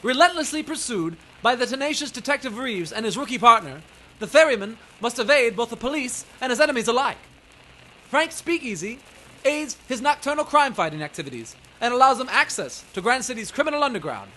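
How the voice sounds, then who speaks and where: Bright, dramatic old-time radio announcer. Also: male voice, very high voice, very animated, brisk, a little hiss or hum, full character voice, poor audio. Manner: dramatic, grandiose. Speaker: narrator.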